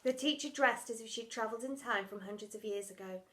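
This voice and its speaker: A woman's voice: on the high side, fast talker, fairly bright tone. A woman narrating a children's storybook, reading scripted text as a primary school storyteller.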